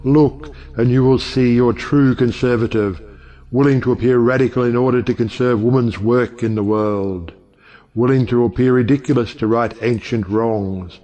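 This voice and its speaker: An actor playing an eloquent, high-minded judge who speaks in grand, oratorical style in an audio play. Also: male voice, warm tone, close to an everyday voice. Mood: admiring, lofty.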